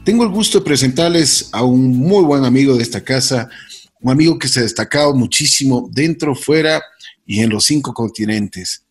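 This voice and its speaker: A man's voice: mid-range voice, animated, normal speed, breathy edge, faint music behind. A man hosting an interview, introducing his guest with effusive praise and repeated phrases. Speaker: host.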